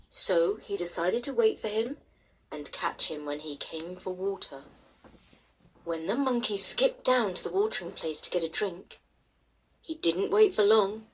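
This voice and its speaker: A woman storyteller narrating a bedtime tale expressively, with dramatic pauses and emphasis. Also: lowish voice, husky, low-quality recording. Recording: faint noise behind the voice.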